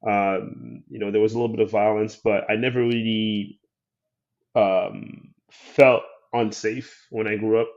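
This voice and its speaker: Male guest from Haiti, low soft voice, thoughtful, lots of "um", dull lo-fi sound. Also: level tone, measured pace. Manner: soft-spoken, thoughtful, many "um"s. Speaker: guest filmmaker. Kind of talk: interview storytelling.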